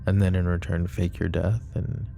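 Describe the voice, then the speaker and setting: A man playing a protective, possessive mafia boss in an intimate roleplay ASMR, speaking deliberately and broodingly straight to the listener. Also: deep voice, little pitch movement, creaky, mellow, faint music behind. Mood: guarded, questioning.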